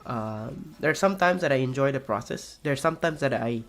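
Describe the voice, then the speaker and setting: A young man with a raspy voice, streaming a game and rambling casually with many fillers and restarts. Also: mid-range voice, animated, brisk, a bit bright. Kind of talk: casual chat while playing a game.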